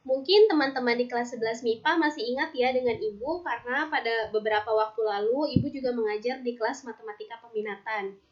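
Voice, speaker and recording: A woman teacher from Indonesia explaining math step by step in a recorded online lesson, patiently slowing down on formulas. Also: on the high side, dull audio. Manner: patient, steps through formulas aloud, slows on terms.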